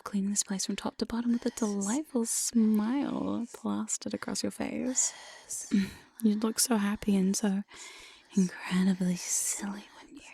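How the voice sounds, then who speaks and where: A woman playing a teasing, domineering demon jailer in whispered ASMR roleplay, close on a binaural mic, slow, coaxing and mock-sweet. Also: mid-range voice, warm tone. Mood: sweetly teasing. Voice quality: breathy whisper. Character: teasing, domineering demon mistress of a dungeon jail. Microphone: close binaural studio microphone.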